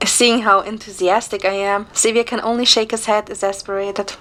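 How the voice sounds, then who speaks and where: A woman narrating a scripted first-person story in a measured, descriptive way. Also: medium pitch, little pitch movement, fairly bright tone.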